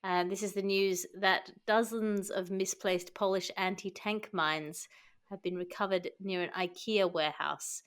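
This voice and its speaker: A woman's voice: mid-range voice, fairly even intonation, unhurried, smooth. A woman hosting a comedy podcast, moving between scripted comic news links and bantering chat. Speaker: host of a comedy panel podcast.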